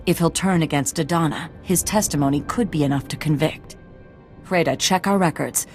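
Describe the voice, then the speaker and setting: Low-voiced, businesslike female commander. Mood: composed. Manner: formal, measured, authoritative. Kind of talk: video game voice acting. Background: game music.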